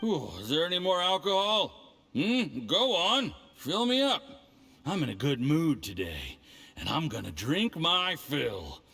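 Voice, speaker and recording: A gravelly arrogant envoy. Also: male voice, a little high, very animated, unhurried, sharp tone, heavily acted. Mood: jovial. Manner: swaggering, then threatening. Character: scheming middle-aged male Fatui envoy, arrogant. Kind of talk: game cutscene voice acting.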